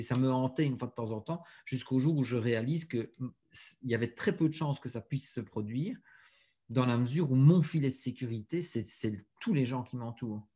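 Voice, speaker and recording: A man, a guest on a podcast, telling stories in an animated way and repeating words as he thinks. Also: medium pitch. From Belgium. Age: 40s. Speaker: guest, author and speaker. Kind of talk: casual podcast interview.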